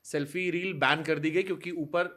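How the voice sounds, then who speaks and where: A male interviewer with a fairly high voice sets up long, winding questions, mixing in English phrases. Between his questions he keeps humming along to show he is listening. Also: steady tone, normal speed, pushed and bright. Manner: long wandering questions, mixes English phrases, frequent hums of agreement.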